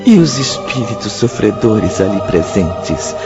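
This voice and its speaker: A Brazilian man narrating a radio drama in a solemn, literary style with deliberate phrasing. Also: fairly low pitch, sing-song. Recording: muffled, loud music behind.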